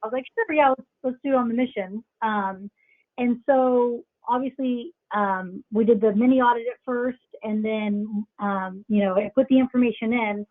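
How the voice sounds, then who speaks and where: A woman calls in as a new business coach, chatty and a little hesitant. Her voice sits a bit high. It comes over a tinny, phone-like line. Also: no room sound. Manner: chatty, slightly hesitant, with many ums.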